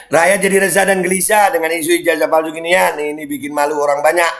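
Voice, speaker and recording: A man's voice: flat delivery, fast talker. Heated, mocking Betawi-accented man. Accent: Jakarta Betawi. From Indonesia. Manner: mocking, heated, laughs and sighs often; swings between low mutters and loud indignant outbursts. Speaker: commentator reacting to social media posts. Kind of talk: casual commentary reading comments.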